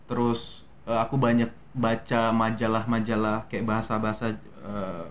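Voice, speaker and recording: A young man from Medan with a softened Medan Indonesian accent, a guest actor telling his story in a relaxed, hesitant way with many fillers. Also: fairly low pitch, moderate pace. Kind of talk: casual interview talk.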